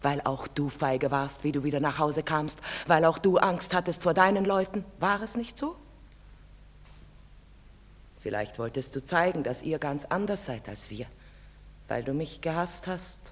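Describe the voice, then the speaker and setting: An actress playing a proud, sorrowful middle-aged foreign mother in a stage drama, speaking in a solemn, measured and emphatic theatrical manner. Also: low voice, animated. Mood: bitter, accusing. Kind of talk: German stage-drama acting. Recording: long reverberant hall sound.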